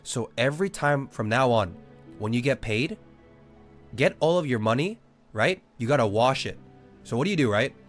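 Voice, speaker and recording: A young Australian-born man with a Southern Californian accent, casually chatting and joking with viewers while playing a game. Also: mid-range voice. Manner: joking, swears freely, reads chat aloud. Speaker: streamer. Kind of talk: casual gaming livestream chat.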